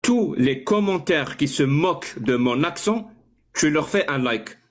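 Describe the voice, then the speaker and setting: A man's voice: on the high side, expressive, measured pace. A man arguing in a heated online debate, insistent and pressing, often stumbling over and repeating words as he pushes his point. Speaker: debate participant.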